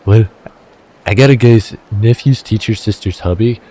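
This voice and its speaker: A man's voice: lowish voice, moderate pace, dark tone, lightly acted. A man voicing a brash, wheeling-dealing male fixer with a shaky attempt at a Minnesotan accent, pushy and threatening on the phone. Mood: scheming.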